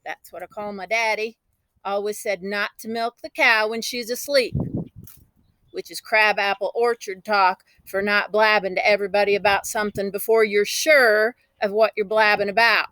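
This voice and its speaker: A woman's voice: medium pitch, fairly even intonation, moderate pace, sharp tone, dry recording, lightly acted, low-quality recording. A woman voicing a spirited, boastful country child from a storybook, telling the tale in a folksy, emphatic, dramatic way. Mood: chatty, eager.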